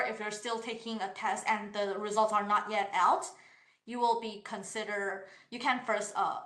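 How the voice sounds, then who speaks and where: A woman's voice: mid-range voice, a bit bright. A woman from university admissions reading out questions and answering them in an online session, politely and with many hesitations. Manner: hesitant, frequent "uh" fillers and restarts; polite, explanatory. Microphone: online session over computer mic. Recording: roomy echo.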